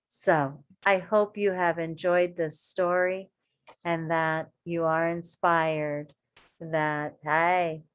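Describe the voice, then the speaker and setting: A woman reading a children's story aloud like an elementary teacher, expressive and encouraging, with deliberate emphasis and asides to her young listeners. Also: on the low side, clean tone.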